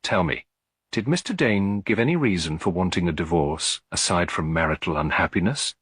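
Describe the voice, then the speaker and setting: A kind lady detective probes gently and politely in a mystery story, as a male narrator plays her. The voice sits low with a slight husk and an expressive lilt, in a dry recording. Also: moderate pace, breathy edge, no echo, heavily acted. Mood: gentle, probing. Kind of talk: detective story character reading.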